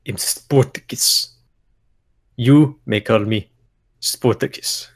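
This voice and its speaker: A man voicing a pompous, muscular elf-like hero with a French-Icelandic accent and deliberate delivery. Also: medium pitch, pitched below the performer's own voice. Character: posing, muscular elf-eared heroic man.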